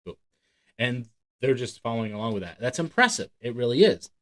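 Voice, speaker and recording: A man's voice: mid-range voice, very animated, a little fast, fairly bright tone. A man hosting a channel, reading a book aloud and breaking off into casual, rambling, emphatic commentary. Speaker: host of a magic channel.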